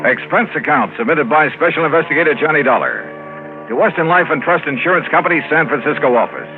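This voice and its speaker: An actor playing a breezy, confident insurance investigator with an American accent, clipped and wry, in 1950s radio drama style. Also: male voice, fairly high pitch, very animated, quick pace, music in the background. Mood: brisk, businesslike. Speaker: lead actor and narrator. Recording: tinny old radio recording.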